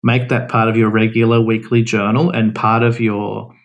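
Frank, relaxed male podcast host. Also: on the low side, normal speed, highs cut off. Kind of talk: casual advice chat.

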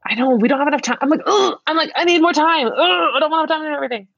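A woman with a fairly high-pitched voice chats as a podcast co-host. Her style is casual and gushing, quick to react to what she hears. The sound is dry, with very little room in it. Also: gravelly, fairly bright tone, no echo, highs cut off. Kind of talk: casual chat.

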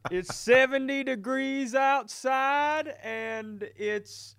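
Silly comedy greeter, voiced by a man, pitched way up, chirpy and singsong, close dry sound. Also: very slow, creaky, a bit bright, smooth, no echo, exaggerated voice. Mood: silly cheery.

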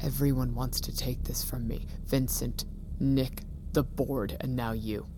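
An actress playing a proud, defiant company boss in an audio drama, imperious and unyielding. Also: female voice, very deep voice, steady tone, measured pace, background noise. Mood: bitter, wounded.

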